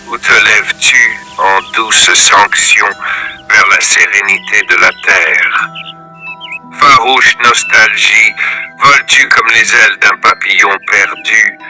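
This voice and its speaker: Male poetry reader: very bright, forceful tone, slow measured declamation, scripted recitation over mallet music. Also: lowish voice, poor audio.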